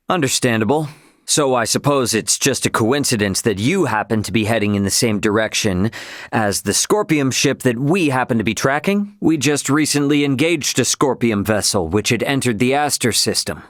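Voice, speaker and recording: A man's voice: medium pitch, no echo, studio quality, lightly acted. An actor playing a brash young male starship captain in a video game, with a casual, plainspoken delivery. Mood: firm, formal.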